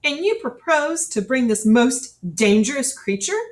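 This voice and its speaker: A woman's voice: high-pitched, very animated, a little slow, voice pushed up. A woman voicing a pompous, stern army colonel in a storybook, speaking formally and declaiming. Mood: stern, indignant.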